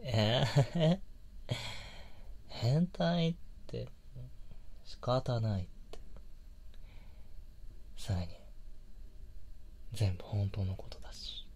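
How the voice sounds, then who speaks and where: A man acting an affectionate, clingy young boyfriend in an intimate roleplay audio drama, speaking softly and breathily, often near a whisper. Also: mid-range voice, big pitch swings, full character voice. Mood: pleading, cuddly. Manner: slow, tender, coaxing. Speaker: online voice actor.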